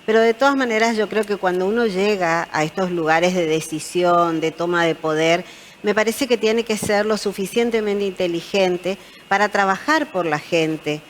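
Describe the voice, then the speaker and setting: A woman speaking as a guest on a TV panel discussion, in a measured, deliberate way. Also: mid-range voice, slight background noise.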